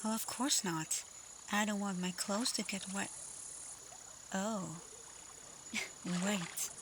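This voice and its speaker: A woman playing a caring, teasing female alpha werewolf in an intimate, softly spoken ASMR roleplay. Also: mid-range voice, steady tone, a little hiss or hum. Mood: playful teasing. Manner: playful, unhurried, soft, at times whispering. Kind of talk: ASMR roleplay, intimate acting addressed to listener.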